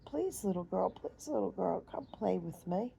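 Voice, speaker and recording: A woman's voice: medium pitch, animated, normal speed, gravelly, dark tone, a little hiss or hum. A woman voicing a small young storybook bear, childlike and plaintive, with a whiny exclaiming manner. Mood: pleading.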